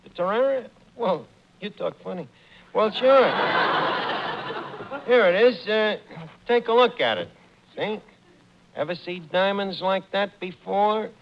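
A brash, conniving New hustler in an old radio comedy. His high voice swings widely as he blusters through mangled grammar. The sound is muffled and noisy, like a worn broadcast. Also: animated, slow pace, long pauses, exaggerated voice. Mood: boastful, evasive. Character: Archie, brash conniving lowbrow male raffle organizer, fast excuses. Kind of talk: 1940s radio comedy acting.

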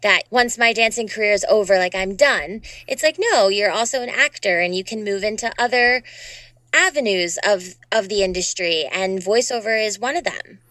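A woman's voice: mid-range voice, moderate pace, bright, forceful tone, dry recording. A young woman in her twenties with a youthful voice, chatting freely as an interview guest. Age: 20s. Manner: youthful, upbeat, talks freely with many "you know"s. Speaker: guest, dancer and voice actor. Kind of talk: casual interview chat.

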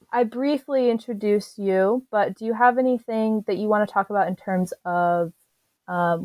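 A woman's voice: medium pitch, a little mellow, dry recording. A woman hosting an interview, reading a careful formal introduction of her guest with occasional fillers. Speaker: interview host.

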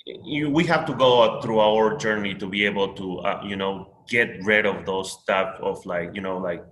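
A man's voice: on the low side, normal speed, a bit bright, reverberant, a little hiss or hum, poor audio. A man from Peru with a Peruvian Spanish accent, Spanish his first language, talking in a rambling casual conversation full of fillers and restarts.

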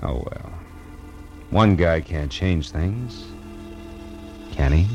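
A man's voice: low-pitched, extremely slow, lo-fi sound, loud music behind the voice, full character voice. A man playing a world-weary, wry private detective in old-time radio noir. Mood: weary, brooding. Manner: wry, hard-boiled.

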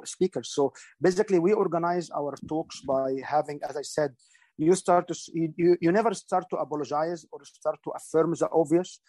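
A man's voice: a little high, a little mellow, no room sound. A middle-aged man, explaining public speaking in an interview, earnest and instructive, with frequent fillers and restarts. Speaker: guest speaker. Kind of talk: interview answers.

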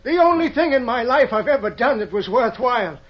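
Desperate small-town tinkerer, very high voice, folksy frontier talk, radio western, dull vintage sound. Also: male voice, expressive, brisk. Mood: angry, accusing. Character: desperate, aggrieved small-town inventor and shopkeeper. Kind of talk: old-time radio western acting. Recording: muffled old radio drama recording.

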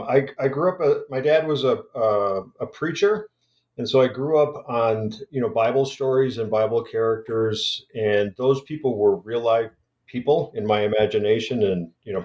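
Male academic guest, halting delivery, reverberant room. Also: fairly low pitch, a little mellow, highs cut off. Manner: halting, many restarts and fillers. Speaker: guest scholar. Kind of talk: hesitant conversational interview.

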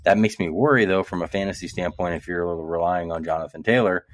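A man co-hosting a sports podcast, talking in a relaxed, conversational way with frequent fillers. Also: low-pitched, a little fast. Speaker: podcast co-host. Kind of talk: casual sports talk.

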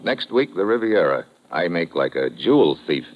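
Low, hard-boiled American agent on old radio. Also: male voice, sing-song, moderate pace, over music. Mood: wry, in control. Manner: terse, slangy, hard-boiled. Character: tough, wry American secret agent hero, narrating his action. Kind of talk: old-time radio drama acting and narration. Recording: muffled, noisy vintage radio broadcast.

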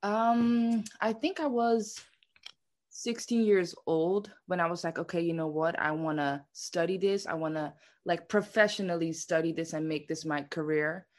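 A woman's voice: on the low side, normal speed. A woman in her twenties from the Netherlands, a dancer chatting casually as the guest of an interview. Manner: chatty, says "like" a lot.

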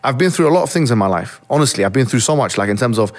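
A man's voice: mid-range voice, animated, rapid-fire. A young man, originally from Egypt, earnestly reflecting as an interview guest, often restarting his sentences. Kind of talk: interview conversation.

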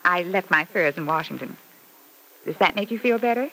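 A woman's voice: lowish voice, expressive, normal speed, sharp tone. A woman playing a gushing, sweetly catty mother in an old radio soap, effusive and theatrical with a cooing sweetness. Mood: brittle, wry. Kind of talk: old-time radio soap opera acting. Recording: noisy vintage radio recording.

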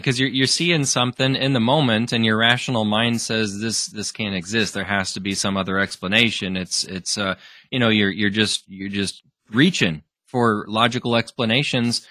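A man's voice: lowish voice, sharp tone, dry recording, clean studio sound. A man hosting a podcast, talking casually and laughing easily, with many restarts. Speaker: podcast host. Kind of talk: casual conversation.

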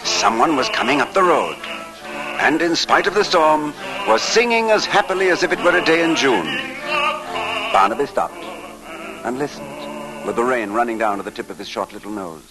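A male storyteller with a medium-pitched voice narrates in classic old-time radio style. His delivery is dramatic and expressive, with music clearly playing behind him. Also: normal speed, low-quality recording.

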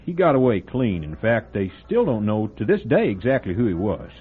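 A man's voice: fairly low pitch, sing-song, normal speed, breathy edge. A gossipy small-town diner man on tinny old radio. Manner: folksy, relishing a gruesome story. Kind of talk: old-time radio drama acting. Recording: phone-like old radio broadcast with faint music.

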